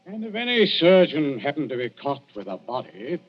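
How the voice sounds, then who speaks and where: Sly police inspector, lively-pitched. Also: male voice, moderate pace, husky, faint music behind. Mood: sly, menacing. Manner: mock-polite, teasing, insinuating. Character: sly, affable, insinuating male Victorian police inspector. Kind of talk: old-time radio drama acting. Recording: dull vintage radio recording.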